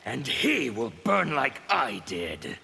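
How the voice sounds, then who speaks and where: An actor playing a burned anarchist revolutionary villain in a video game, gravelly and forceful, with menacing, theatrical declamation. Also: male voice, mid-range voice, very animated, slow pace, exaggerated voice. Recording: long reverb, faint background noise.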